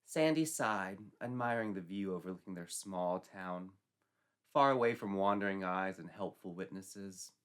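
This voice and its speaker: Bright, forceful male storyteller, theatrical. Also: sits low, a little slow, clean tone. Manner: mock-dramatic, arch. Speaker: storyteller, narrator. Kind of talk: theatrical comic scripted narration.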